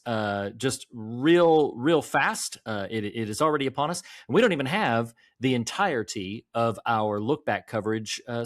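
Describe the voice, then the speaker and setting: A man's voice: medium pitch, no room sound, studio quality. A man hosting a podcast, speaking to listeners in casual chat and announcing upcoming episodes. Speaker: podcast host.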